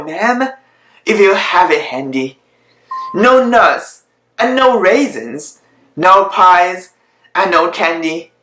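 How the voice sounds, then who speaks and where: A woman voicing a solemn old male doctor in a storybook verse, giving measured, admonishing advice. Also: mid-range voice, a bit bright. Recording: echoey room.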